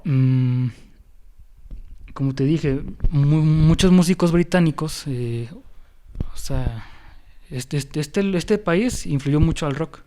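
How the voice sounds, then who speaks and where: Mellow-voiced Mexican man chatting loosely. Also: on the high side, slow pace. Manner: rambling, many fillers and restarts. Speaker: podcast host. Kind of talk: casual music history chat.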